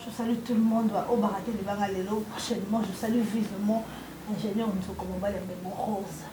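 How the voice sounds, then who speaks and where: A woman's voice: mid-range voice, fairly even intonation, brisk. A woman chatting as co-host and greeting everyone. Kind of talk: casual chat and greetings. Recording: echoey room with clear background noise.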